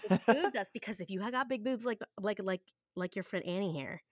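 A woman's voice: mid-range voice, a little fast, a little mellow, no room sound. Chatty woman, close dry sound. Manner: candid, chatty, swears freely, emphatic. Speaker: podcast host. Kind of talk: casual podcast chat.

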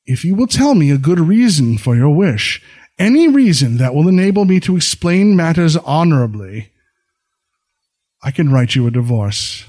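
A steady samurai husband speaks with formal, considerate calm, as a male narrator voices him. His tone is fairly high for a man and stays even-tempered. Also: normal speed. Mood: earnest. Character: gentle, honorable samurai husband.

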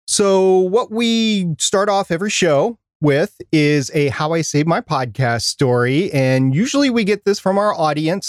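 A man co-hosting a podcast, talking and reading aloud in an animated, emphatic way. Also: on the high side, no room sound, clean studio sound. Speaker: podcast co-host. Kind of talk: podcast talk and reading out a listener email.

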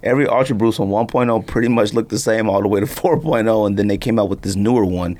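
A man's voice: lowish voice, quick pace, warm tone. A man hosting a sneaker podcast in casual, unscripted chat, with a slangy, conversational way of talking.

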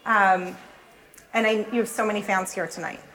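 A woman's voice: medium pitch, fairly even intonation, normal speed, sharp tone, a little hiss or hum, rough recording. A woman hosting a live event interview, speaking earnestly and addressing the crowd. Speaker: host. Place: event space before a live audience.

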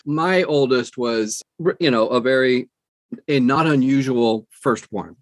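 A middle-aged or older American man telling personal stories in an animated, conversational way as an interview guest. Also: mid-range voice, takes it slowly. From United States, New Jersey. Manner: animated, acts out quoted dialogue. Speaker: interview guest, audiobook narrator. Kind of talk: conversational storytelling.

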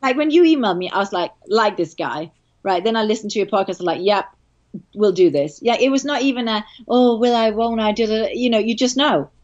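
A woman's voice: a little high, lively intonation, fairly quick, a little mellow, muffled recording. A woman with a Danish accent, a guest on a podcast, talking casually and enthusiastically about her work. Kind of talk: casual interview chat.

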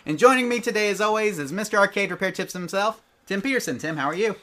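This is a man hosting a live show with an upbeat, chatty manner. His voice is bright and forceful and sits a little high for a man. He reads viewer messages in a friendly, casual tone. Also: animated, fast. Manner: upbeat, chatty, announcer-like opening. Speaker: podcast co-host and producer.

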